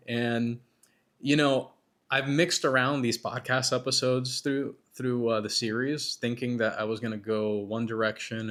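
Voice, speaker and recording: A man hosting a podcast, talking casually and a bit self-critically with lots of restarts, his voice worn and hoarse from being sick. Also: medium pitch, a bit bright. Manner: rambling, self-critical, many restarts and fillers. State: losing his voice, getting over being sick. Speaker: podcast host. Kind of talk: casual solo commentary between interview segments.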